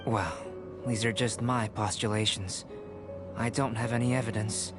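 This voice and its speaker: A man voicing a calm, scholarly young male alchemist in a video game, giving measured, polite explanations over game music. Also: on the low side, close to an everyday voice. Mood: composed.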